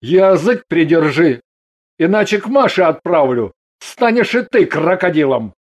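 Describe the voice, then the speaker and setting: Man playing gruff, high-voiced storybook husband. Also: sing-song, slow, mellow, heavily acted. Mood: gruff, threatening. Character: Andrey Ganin, gruff, wry, steady husband and father.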